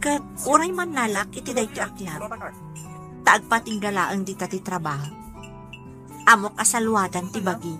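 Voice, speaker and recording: A woman's voice: medium pitch, animated, moderate pace, long pauses, close to an everyday voice. A woman acting a self-respecting young club worker in a radio drama, with an Ilocano accent. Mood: friendly, resigned. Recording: radio drama with music underneath.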